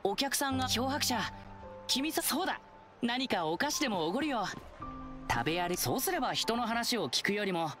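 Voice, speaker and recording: Game role: eager, earnest young male lion dancer, friendly and boyish, highly animated delivery over music. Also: moderate pace. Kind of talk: video game voice acting.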